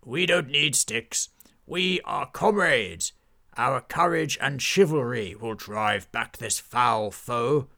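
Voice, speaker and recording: A man's voice: mid-range voice, slow pace, vocal fry, bright, forceful tone, full character voice. A man voicing a pompous, cheerful knight with a genteel, fussy manner in a storybook tale. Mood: rousing. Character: pompous, cheerful male knight, fond of flowers.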